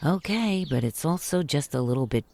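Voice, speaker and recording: A teasing, curious woman, played by an actress in a radio comedy. Her voice is deep for a woman and moves up and down a lot. She talks drily and wryly to her housemate. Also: lively intonation, brisk, a little mellow, slight background noise. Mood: dry, needling. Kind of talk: radio play acting.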